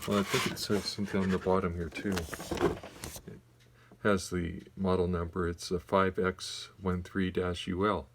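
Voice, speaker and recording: A man giving a casual, unscripted demonstration in a halting, tentative, plain-spoken way. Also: deep voice, flat delivery. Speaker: radio collector giving a demonstration. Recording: noisy amateur home video recording.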